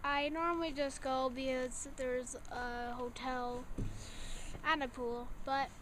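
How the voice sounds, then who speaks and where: A child being casually interviewed as a young guest reviewer, talking haltingly and searching for words. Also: high voice, level tone, pushed and bright, a little hiss or hum.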